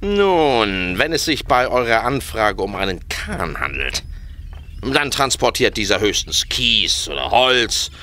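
An actor playing a wry, cagey harbour master in a radio play, explaining things pedantically and with hesitations. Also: male voice, on the low side, pushed and bright, heavily acted. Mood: pedantic. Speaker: voice actor in an audio drama. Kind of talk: German radio play acting.

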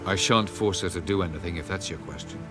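An actor playing a haughty, guarded ancient elf sage in a video game, speaking formally and with measured condescension. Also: male voice, low-pitched, music in the background, natural voice. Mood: cool, guarded.

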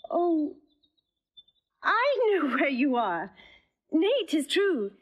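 A woman's voice: high-pitched, very animated, exaggerated voice. An actress playing a witty, playful young noblewoman in disguise, in classical stage verse. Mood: teasing. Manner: quick, teasing, bold. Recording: dull lo-fi audio.

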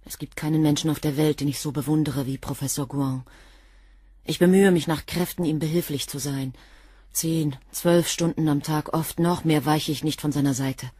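Guarded, husky, coolly defiant assistant. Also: female voice, deep voice, fairly even intonation, moderate pace, dark tone, no echo, studio quality. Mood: guarded, devoted. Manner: precise, cool, clipped answers. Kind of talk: German crime radio play dialogue.

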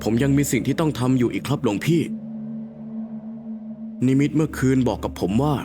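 A man voicing a steadfast, humble Buddhist monk in an animated horror story, formal and earnest, with music behind. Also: mid-range voice, brisk, natural voice. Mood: humble, respectful. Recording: clear music under the voice.

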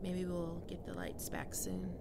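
A woman voicing a prickly, flustered young catgirl roommate in an intimate ASMR roleplay, halting and defensive but secretly soft. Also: on the low side, level tone, gravelly, very noisy. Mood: hesitant, tender.

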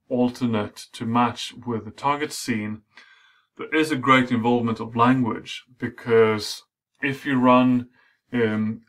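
A middle-aged man, a native Swedish speaker, giving an academic lecture in a measured way with frequent fillers. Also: mid-range voice, a bit bright.

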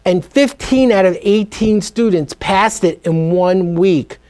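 High-voiced, emphatic middle-aged man. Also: takes it slowly. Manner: emphatic, energetic, salesman-like. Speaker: guest, speed reading teacher. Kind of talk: TV business interview. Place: TV studio set.

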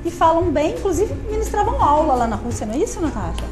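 A woman's voice: extremely high pitch, very animated, fast, over music. A Brazilian woman in her thirties hosting a live TV chat show from a studio, bubbly and playful, laughing often, on a lapel mic. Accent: Brazilian Portuguese. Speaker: TV talk show host. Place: bright TV studio set.

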